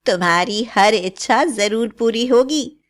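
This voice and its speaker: A woman as a scheming young bride, high-pitched. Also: a little slow, close to an everyday voice. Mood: coaxing, sweet. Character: young bride, sweet-talking, scheming, scornful. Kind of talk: melodramatic cartoon story acting.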